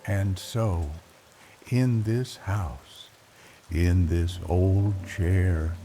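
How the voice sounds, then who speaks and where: Very slow, deep older male storyteller. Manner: reflective, wistful, with long pauses. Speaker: bedtime story narrator. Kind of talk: slow, hushed scripted bedtime storytelling. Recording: rain noise behind the voice. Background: soft rain ambience.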